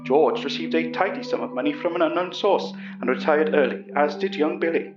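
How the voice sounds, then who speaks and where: An earnest old English professor , played by a man, recounts a monster tale in a fairly high voice. It is first-person horror audio drama with a roomy, slightly muffled sound. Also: fairly quick, music in the background, natural voice. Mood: grave.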